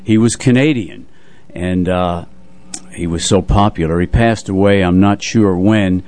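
An older man with a fairly low voice talks as a radio co-host. He recalls the old days in a measured, halting way, pausing as he remembers, and introduces music like a seasoned storyteller. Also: darkish tone. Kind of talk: reminiscing radio chat introducing jazz records.

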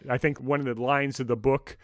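Male podcast host, medium pitch, measured conversational delivery with pauses, very little room sound. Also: steady tone, no room sound, clean studio sound. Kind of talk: podcast discussion.

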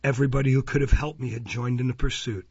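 An elderly, humble bookstall keeper from a classic novel , voiced by a male reader, speaks with urgent determination. His voice is husky and creaky, earnest and insistent, on a slightly muffled recording. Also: medium pitch, little pitch movement, fast, a little mellow, no room sound. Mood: earnest testimony. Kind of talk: dramatised audiobook reading of a classic novel.

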